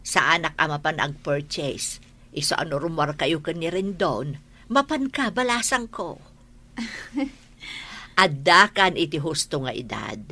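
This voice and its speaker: A woman acting a bossy but kindly middle-aged aunt in a Filipino radio drama, husky, with a Filipino accent. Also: sits low, very animated, a little slow, pauses often, bright, forceful tone, full character voice. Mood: teasing, encouraging.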